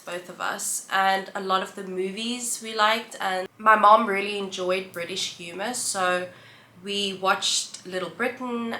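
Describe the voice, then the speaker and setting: South African woman, thirties, bright voice, casual reflective vlog chat, roomy sound. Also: mid-range voice. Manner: chatty, reflective, with listing pauses. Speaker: vlogger. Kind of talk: casual sit-down chat to camera. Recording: roomy echo, faint background ambience.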